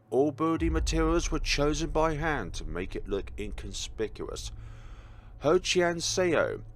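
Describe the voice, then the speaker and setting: A man's voice: mid-range voice, slight background noise. A man reading in-game logs and notes aloud for a gaming video, with a dramatic delivery broken by wry asides and self-corrections.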